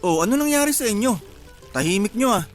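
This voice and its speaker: An actor playing a playful, joking young Filipino boyfriend with lighthearted delivery in a radio romance drama. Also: male voice, sits high, expressive, fast, soft background music.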